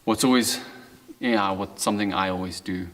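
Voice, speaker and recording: Low-voiced man with halting phrasing. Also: a bit bright. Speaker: caver giving a demonstration. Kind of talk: informal instructional talk.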